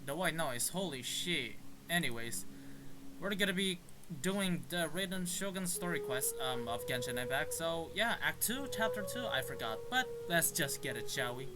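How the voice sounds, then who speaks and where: A man's voice: on the high side, animated, moderate pace, background noise. A man giving casual, informal playthrough commentary as a game streamer, reacting as he goes. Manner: informal, muttered reactions with mild swearing.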